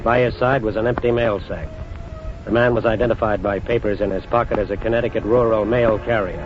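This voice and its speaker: A man narrating a 1940s radio crime drama in a measured, grave manner. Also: lowish voice, fairly even intonation. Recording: muffled 1940s radio broadcast with music.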